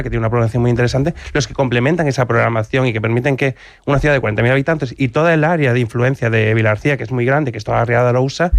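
A man from Galicia, a podcast guest, talking in fast, detailed explanations. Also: medium pitch, vocal fry, no room sound. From Galicia, Spain. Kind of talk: casual discussion.

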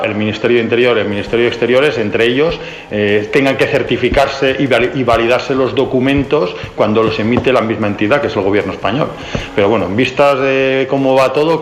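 A man gives a halting press conference briefing, stumbling over words with frequent hesitations. His voice is middle-pitched and echoes in a hard room, with a faint hiss underneath.

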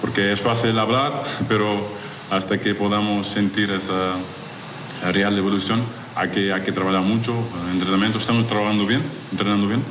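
A man's voice: on the low side, steady tone, normal speed. A young man from Lisbon with a Portuguese accent, a native Portuguese speaker giving unscripted player interview answers in a reverberant, noisy space. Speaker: athlete, team player. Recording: echoey reverberant hall, clear background noise.

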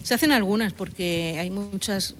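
A woman's voice: medium pitch, moderate pace, over music. A woman pharmacist from Madrid, with a Madrid accent blended with southeastern Spanish, chatting readily in a radio interview. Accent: Madrid Spanish mixed with southeastern Spanish. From Madrid, Spain. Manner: chatty, explains readily, laughs easily. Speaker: pharmacist, festival speaker.

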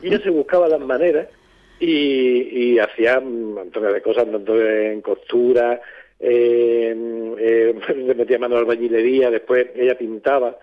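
A man, speaking reflectively as an interview guest, halting as he searches for words. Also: medium pitch, steady tone, dull audio. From southern Spain. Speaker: poet and songwriter guest.

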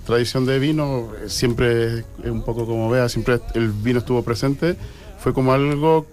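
A man, a winemaker guest telling his story calmly. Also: medium pitch, moderate pace. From Chile.

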